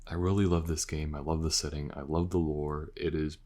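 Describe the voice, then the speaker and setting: Very deep-voiced man chatting casually. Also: level tone, a little fast, a little mellow. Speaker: voice actor and creator of the audio. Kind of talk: casual outro chat to listeners.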